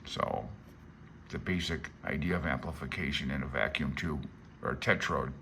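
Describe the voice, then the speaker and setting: A man's voice: very deep voice, fairly even intonation, normal speed, gravelly, bright, forceful tone, a little hiss or hum. A man in his fifties giving an informal read-along tutorial, thinking aloud in a hesitant, self-deprecating way. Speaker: amateur radio hobbyist explaining a handbook.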